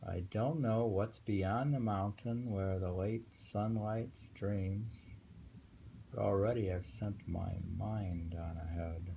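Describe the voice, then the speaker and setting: Very slow, low-voiced middle-aged man. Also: steady tone, vocal fry, mellow, poor audio. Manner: unhurried, musing, repeats phrases. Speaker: host reading and discussing poetry. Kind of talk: casual poetry reading with commentary. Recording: home recording with faint background noise.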